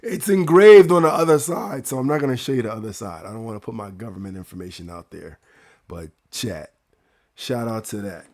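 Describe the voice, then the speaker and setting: A man's voice: on the low side, animated, a little fast, darkish tone. A man, hosting a livestream in a casual, slangy, hyped way and often repeating his phrases. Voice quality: raspy. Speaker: livestream host.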